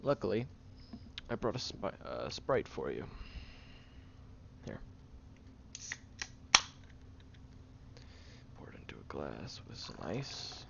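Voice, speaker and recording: Audio roleplay: male actor as tired tsundere guy, gruff but secretly caring, halting, creaky voice with lively swings in pitch. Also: whispered, full character voice. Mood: weary, caring. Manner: halting, sighing, grumpy and flustered. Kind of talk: intimate audio roleplay, acted monologue to the listener. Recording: dull lo-fi, clear background noise.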